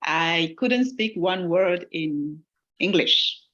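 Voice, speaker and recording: A woman's voice: fairly low pitch, lively intonation, slow pace, fairly bright tone, muffled recording. A woman whose first language is French, an accounting instructor answering webinar questions in an earnest, encouraging way.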